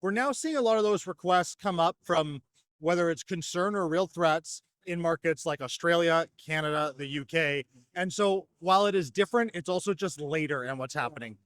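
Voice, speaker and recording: A man with a fairly high voice explains products in an articulate, orderly way. The sound is dry and close, like a company representative being interviewed. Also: moderate pace, fairly bright tone, no echo. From North America. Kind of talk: fluent product explanation at a trade show. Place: trade show exhibition hall.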